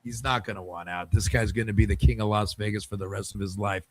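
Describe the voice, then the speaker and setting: A man's voice: on the low side, fairly even intonation, fast talker. An older man co-hosting a casual sports chat, hesitant and repeating words as an enthusiastic fan. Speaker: podcast co-host.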